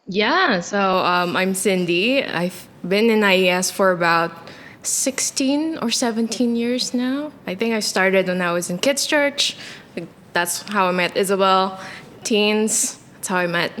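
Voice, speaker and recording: A woman's voice: mid-range voice, expressive, unhurried, a bit bright, in a large hall, slight background noise. A young woman, a guest on a podcast, answering casually in short, matter-of-fact phrases. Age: young adult.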